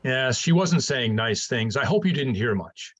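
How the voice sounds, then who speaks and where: Man acting a kindly husky older professor, animated. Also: medium pitch, normal speed, close to an everyday voice. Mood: patient. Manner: patient, explanatory, conversational. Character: kindly older male professor, gentle, fond, wry mentor. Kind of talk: staged reading of a science fiction play.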